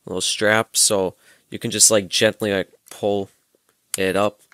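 A man's voice: lowish voice, little pitch movement, measured pace, a bit bright, clean tone, no room sound, studio quality. A young adult man, giving a casual hands-on demonstration and explaining informally, step by step. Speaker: presenter of a gadget tutorial. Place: small room at home, indoors. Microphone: headset microphone.

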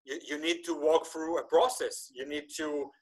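A man in his thirties whose first language is Hebrew, hosting a podcast over a video call, talking with animated gestures and frequent restarts. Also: a little high, moderate pace, breathy edge. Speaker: podcast host. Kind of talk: casual interview chat. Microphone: video call webcam.

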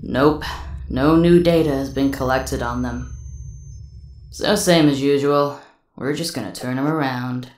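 A woman voicing a dry, deadpan, weary alien robot crew member in a cartoon comic dub. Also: rumbling low, unhurried. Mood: bored. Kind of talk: animated comic dub acting. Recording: roomy, music behind.

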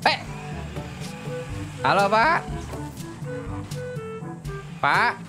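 A man's voice: high voice, very animated, drawn-out words, poor audio. A man doing playful, slangy gameplay commentary as a YouTube host. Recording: music behind the voice.

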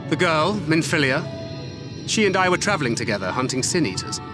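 A stern, weary warrior over music. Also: male voice, fairly high pitch, big pitch swings, fairly quick. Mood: grave, measured. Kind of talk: dramatic video game voice acting. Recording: music behind the voice.

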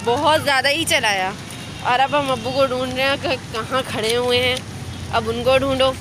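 Chatty woman vlogging amid loud street noise. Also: sits high, fairly even intonation, normal speed. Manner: chatty, running commentary to camera. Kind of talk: casual vlog narration. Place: outdoors at a busy market street and in a car, then indoors at home. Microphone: handheld phone camera.

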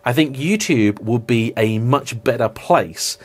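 A man's voice: medium pitch, lively intonation, measured pace, slightly rough. A man talking to camera in an unscripted vlog, reflective and apologetic, with frequent restarts and hedging fillers. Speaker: car vlogger.

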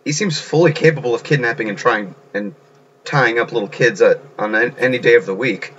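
A man's voice: medium pitch, fairly quick, a bit bright, dull audio, slight background noise, lightly acted. A man voicing an alarmed, blunt teenage schoolboy in a game, incredulous and hesitant.

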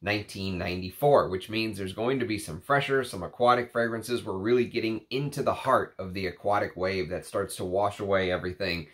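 A man with a fairly low voice talks to camera in an easy, rambling way, leaning on plenty of ums and uhs. He sounds congested and a bit nasal from a cold. It is recorded at his desk at home. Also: brisk, hardly any pauses. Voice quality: slightly nasal. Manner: chatty, rambling, frequent fillers. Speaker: perfume reviewer. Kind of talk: casual to-camera talk. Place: home room with shelves of perfume bottles.

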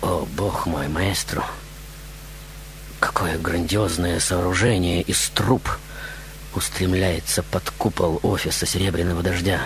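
A man's voice: deep voice, fairly even intonation, measured pace, slight background noise. A man hosting a radio show in a theatrical monologue, with a grandiose, dramatic manner. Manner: grandiose, dramatic, with whispers and chuckles. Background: music behind the voice.

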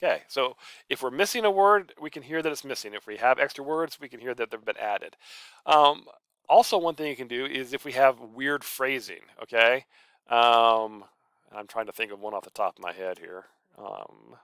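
Man, smooth mid-range voice, dry sound, informal tutorial presenter talking through each step. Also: medium pitch, a bit bright, no echo. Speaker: college professor and author giving a software tutorial. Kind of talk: casual screencast tutorial.

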